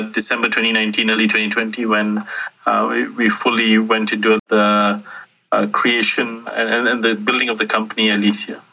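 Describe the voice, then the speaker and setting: A man's voice: mid-range voice, sharp tone, breathy edge, rough recording. A man who grew up in Singapore, a startup founder telling his story as an interview guest in a reflective, meandering way with self-deprecating humor. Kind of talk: interview answer, personal storytelling.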